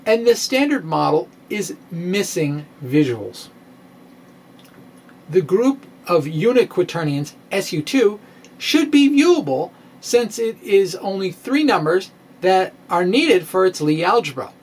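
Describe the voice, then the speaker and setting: A middle-aged man giving a dramatic reading of a science blog in a wry, theatrical manner with pointed pauses, close to a microphone in a small room. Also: sits high, big pitch swings, slightly rough. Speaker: science blogger and presenter. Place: small indoor room with bookshelves. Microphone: microphone on a stand, close in front.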